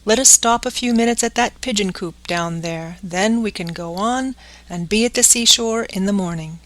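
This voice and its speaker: Brief: calm, helpful storybook pigeon, woman reader. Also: mid-range voice, normal speed, dry recording, close to an everyday voice. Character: large kindly male pigeon, helpful messenger.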